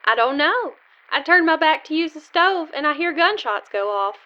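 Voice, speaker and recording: A woman's voice: sits high, expressive, moderate pace. An actress playing an easygoing, dry-witted western diner woman in a comic radio sketch, folksy and matter-of-fact. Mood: unfazed, wry. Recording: slightly muffled recording.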